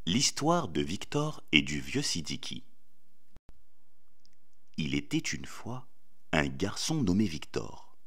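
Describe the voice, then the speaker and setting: A man narrating a scripted children's story expressively, with theatrical pauses. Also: a bass voice, husky.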